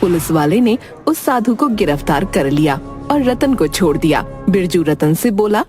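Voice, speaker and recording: A woman's voice: fairly low pitch, sing-song, normal speed, dark tone. A woman reading scripted narration for a moral tale. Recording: music under the voice.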